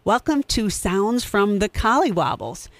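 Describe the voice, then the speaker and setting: A woman's voice: medium pitch, very animated, measured pace, no echo. Woman host, dry close sound. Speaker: host, theatre company director. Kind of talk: casual spoken introduction.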